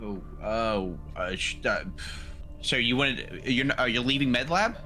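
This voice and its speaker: A man chatting casually as a tabletop game player, halting and often questioning as he works out a plan. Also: mid-range voice, animated, pushed and bright, soft background music. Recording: clearly noisy background ambience.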